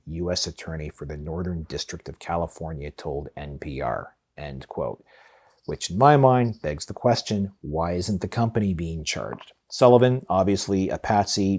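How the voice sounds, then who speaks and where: Male podcast host, very low and husky; scripted news read with informal, opinionated commentary. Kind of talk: scripted news narration with casual asides.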